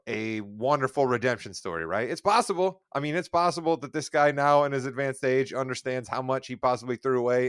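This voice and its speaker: A man's voice: medium pitch, a bit bright, smooth, no echo, studio quality. A man co-hosting a casual sports podcast, rambling with jokey, self-deprecating asides. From Northwest Indiana. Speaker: podcast co-host.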